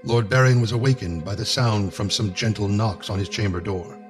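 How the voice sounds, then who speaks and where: A man narrating a scripted fantasy game story in a measured, solemn storytelling manner. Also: lowish voice, over music, lightly acted.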